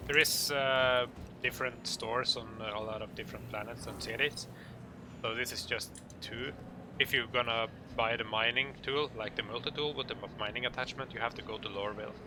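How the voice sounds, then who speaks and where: A man with a Norwegian accent giving an informal game tutorial in English, speaking hesitantly in halting phrases. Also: medium pitch, fairly even intonation, background noise. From Norway. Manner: hesitant, halting delivery with pauses. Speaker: video tutorial narrator.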